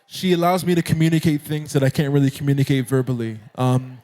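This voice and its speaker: A young man with an American accent, a guest musician chatting in a relaxed interview and laughing often. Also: mid-range voice, fast talker. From Nairobi, Kenya. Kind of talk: casual interview chat.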